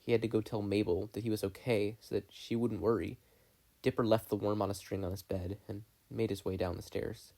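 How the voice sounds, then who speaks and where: A man's voice: lowish voice, steady tone, a little fast, gravelly, mellow. A man reading a story aloud in scripted narration. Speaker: podfic reader.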